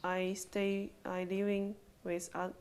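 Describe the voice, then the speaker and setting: A woman from China, a native Chinese speaker, talking in halting, simple English as an interview guest. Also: medium pitch, flat delivery, darkish tone, clean tone. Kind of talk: casual conversation.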